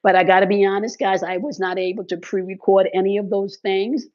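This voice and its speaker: A hoarse woman speaks casually to her followers about spiritual practice, her voice crackling from congested sinuses. She keeps checking in with quick "okay"s and "right"s. Her voice sits at an ordinary pitch, and the recording sounds a little dull and lo-fi. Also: steady tone, a little mellow. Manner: repeats "okay" and "right" as check-ins, uses fillers. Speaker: spiritual content creator. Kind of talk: casual spiritual talk to viewers.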